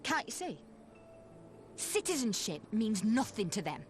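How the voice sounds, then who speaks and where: A woman's voice: mid-range voice, sing-song, measured pace, slight background noise. A woman playing a bitter, defiant daughter turned soldier in a video game cutscene.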